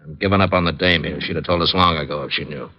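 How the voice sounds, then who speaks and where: A man's voice: extremely low pitch, fast. An actor playing a cold, calculating male racketeer boss, firm and authoritative, in a 1940s radio crime drama. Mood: cold, commanding. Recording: muffled old radio broadcast.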